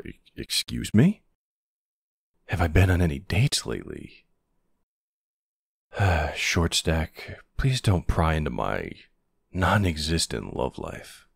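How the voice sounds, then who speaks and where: A man playing a gruff but tender, protective older man in an intimate ASMR boyfriend roleplay, speaking hesitantly with casual endearments. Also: very deep voice, no echo, studio quality, exaggerated voice. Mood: flustered, guarded. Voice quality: gravelly, husky. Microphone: close, dry microphone.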